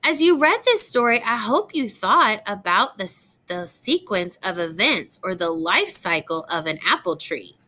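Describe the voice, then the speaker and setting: Slow, expressive woman reading to children. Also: medium pitch. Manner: deliberate, expressive phrasing addressed to young children. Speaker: teacher reading aloud to children. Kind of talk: children's picture book read-aloud with questions to listeners.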